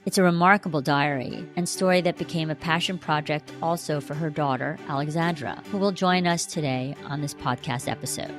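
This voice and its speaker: An American woman hosting a podcast, reading a scripted introduction and then gently questioning her guest. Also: deep voice, brisk, few pauses, music in the background. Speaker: podcast host.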